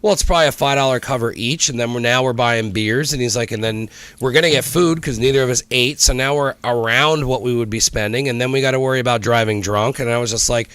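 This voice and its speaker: A man's voice: mid-range voice, fast talker, few pauses, a bit bright, smooth, dry recording. A man in his thirties hosting a casual podcast chat, rambling through stories and acting out what people said. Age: 30s. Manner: rambling storyteller, acts out quotes, swears casually.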